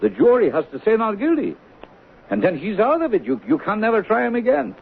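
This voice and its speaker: A man's voice: sits high, huge pitch swings, fairly quick, gravelly, breathy edge, exaggerated voice. An actor playing a streetwise working-class man, coaxing and slangy, placating his partner in a 1970s radio drama. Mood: coaxing, explanatory. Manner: coaxing, hesitant, slangy, ungrammatical. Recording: noisy, tinny 1970s radio broadcast recording.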